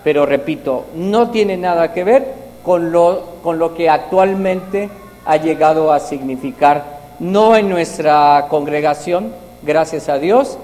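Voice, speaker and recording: A man from Mexico with a Mexican accent, preaching a sermon with prayer and scripture reading, slow and halting, often repeating his words. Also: on the high side, a little mellow. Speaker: visiting preacher. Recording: long hall reverb, faint noise.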